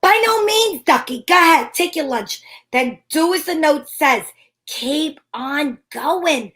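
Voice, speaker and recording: A woman's voice: high-pitched, animated, slow, a bit bright, heavily acted. A woman playing a sassy, wisecracking young lady detective who narrates in an arch, old-time radio comedy style. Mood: teasing, breezy.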